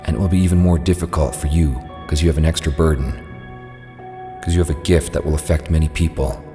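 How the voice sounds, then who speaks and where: A man voicing a grave, resolute scientist in a superhero comic dub, speaking in a solemn, measured way. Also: a bass voice, steady tone. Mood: solemn, earnest. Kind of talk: dramatic comic dub voice acting. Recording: long hall reverb under loud music.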